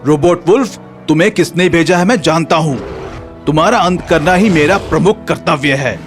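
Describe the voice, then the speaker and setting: A man voicing an earnest, determined inventor hero in an animated children's story, speaking with resolve. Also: a little high, normal speed, faint music behind, close to an everyday voice. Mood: resolute.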